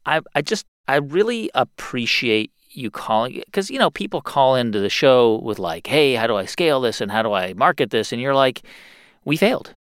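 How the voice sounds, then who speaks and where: A man's voice: medium pitch, sing-song, normal speed, a bit bright, clean tone, no echo. A friendly male host takes a caller on his advice show, recorded dry and close. He often doubles his words mid-thought before landing his point. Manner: friendly, repeats words while thinking.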